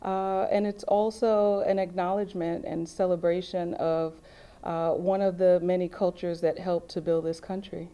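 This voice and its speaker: Mellow, composed woman professor. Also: fairly low pitch, flat delivery, normal speed, clean tone, highs cut off. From Jamaica. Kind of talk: interview reflection.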